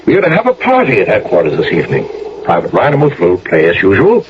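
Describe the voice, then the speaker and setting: An actor playing a blustering, complacent older colonel in a radio drama, pompous and patronizing, with a gravelly edge. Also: male voice, fairly low pitch, huge pitch swings, a little fast. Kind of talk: theatrical radio drama acting. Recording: muffled, noisy old radio recording.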